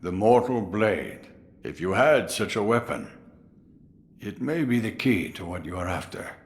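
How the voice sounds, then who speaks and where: Game role: jovial old samurai grandfather, low voice, big swings in intonation, sly and theatrical. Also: lively intonation. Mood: grave musing. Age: older. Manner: theatrical, amused, drawn-out musing delivery. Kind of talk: dramatic video game voice acting.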